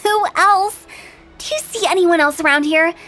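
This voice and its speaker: A woman's voice: extremely high pitch, normal speed, bright, forceful tone, faint music behind. An actress voicing a playful, mischievous young woman who runs a funeral parlor in a game, teasing and joking about spirits.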